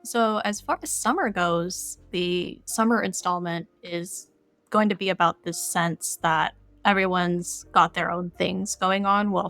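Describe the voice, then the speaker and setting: A woman's voice: on the low side, creaky, a bit bright, no room sound. A woman explaining her creative work as a guest in a casual interview.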